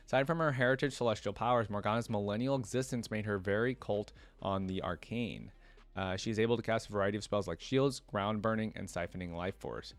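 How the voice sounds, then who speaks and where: Low-voiced man, very dry close sound. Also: no echo. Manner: breaks off reading for informal commentary. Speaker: YouTube reaction host. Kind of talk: casual reaction, reading a wiki aloud with asides.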